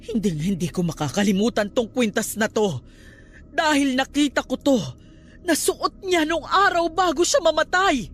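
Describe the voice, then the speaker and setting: High-pitched, scolding Filipino aunt. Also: female voice, expressive, normal speed. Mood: alarmed. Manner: scolding, dramatic, emphatic. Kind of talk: radio drama acting.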